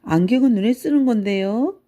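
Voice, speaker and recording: A woman plays a young boy from a children's storybook, curious and helpful. The speech is polite and matter-of-fact, with a mellow, gentle tone. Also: fairly high pitch, moderate pace, pitched above the performer's own voice, close to an everyday voice.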